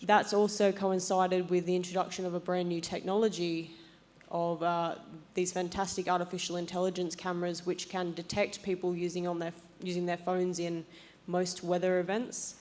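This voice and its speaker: A woman's voice: fairly low pitch, little pitch movement, moderate pace, a little mellow. A young Australian woman giving a prepared presentation as a panelist. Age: young adult. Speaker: panelist, transport policy advisor. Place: conference assembly stage, indoors.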